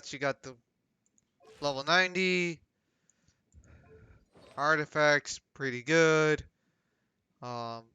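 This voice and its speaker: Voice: medium pitch, pushed and bright, clean tone, dry recording. A game streamer giving casual live commentary, close on the mic.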